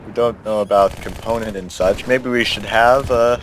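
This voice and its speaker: Low-voiced young man rambling over gameplay. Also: fairly even intonation, moderate pace. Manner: rambling, explains at length with many fillers. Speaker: let's play commentator. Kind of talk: casual gameplay chat. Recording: noisy capture with game sound behind.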